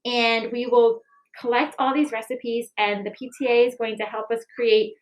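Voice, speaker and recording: A woman in an expressive, deliberate read-aloud style, like school staff sharing a picture book and news with families. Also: a little high, poor audio. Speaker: school staff member reading aloud and presenting a recipe book project. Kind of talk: children's storybook read-aloud and school announcement.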